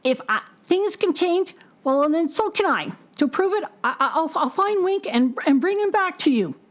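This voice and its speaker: A woman voicing a scheming, boastful male crow in a storybook, with a high squawky voice. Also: lively intonation, normal speed. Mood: contrite.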